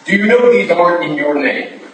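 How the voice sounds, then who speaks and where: Far from the microphone in a very echoey hall, an actor plays a Victorian police officer. His voice is slightly high, with a measured, insistent courtesy suited to stage drama. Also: animated, a bit bright, slight background noise. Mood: accusing.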